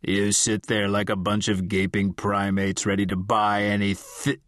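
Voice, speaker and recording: A man doing a gravelly, belligerent old spirit. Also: fairly low pitch, monotone, a little slow, fairly bright tone, no echo, studio quality. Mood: scornful. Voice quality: gravelly, croaking. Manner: belligerent, scornful. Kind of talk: audiobook character voice.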